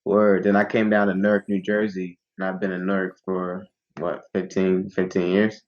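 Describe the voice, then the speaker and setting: A man's voice: deep voice, monotone, moderate pace, dull audio. A young man from Ghana, a musician guest on a podcast, talking in a laid-back, slangy, rambling way. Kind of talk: casual interview chat.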